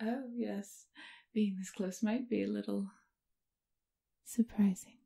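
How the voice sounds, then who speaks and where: Woman doing a refined lady vampire for asmr, super whispery and breathy, slow, doting and teasing. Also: mid-range voice, steady tone, dark tone, full character voice. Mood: teasing, hushing. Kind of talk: ASMR comfort roleplay.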